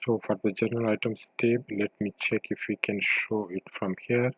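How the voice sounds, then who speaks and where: Guy with a lowish voice doing a screen share walkthrough, kinda hesitant, dry close sound. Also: fairly even intonation, normal speed, breathy edge, dry recording, dull audio. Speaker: software tutorial presenter. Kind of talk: informal screen-share tutorial narration.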